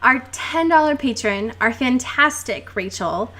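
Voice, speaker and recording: High-voiced young woman chatting casually. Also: animated, unhurried, a bit bright. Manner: informal, sweary, with frequent "like". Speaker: podcast co-host. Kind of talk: casual chat, recapping a novel.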